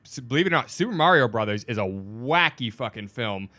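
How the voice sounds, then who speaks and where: A man in casual podcast talk, opinionated and sweary, halting as he builds his point. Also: medium pitch, lively intonation, bright, forceful tone. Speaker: podcast panelist.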